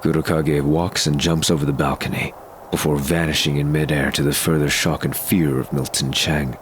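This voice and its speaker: A man's voice: rumbling low, level tone, moderate pace, slight background noise. A man reading scripted narration for an audio drama, describing the action as narrator.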